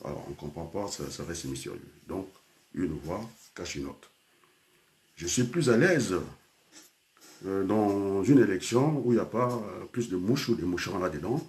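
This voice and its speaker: A man's voice: deep voice, moderate pace, a little mellow. An older man from Congo, a teacher and trainer, speaking in a rambling personal talk full of hesitations and switches into Lingala.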